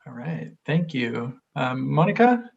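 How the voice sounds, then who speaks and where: A man's voice: medium pitch, sing-song, takes it slowly, gravelly, a little mellow, far from the mic, dull audio. A man moderating a panel on a video call, giving friendly brief prompts and thanks.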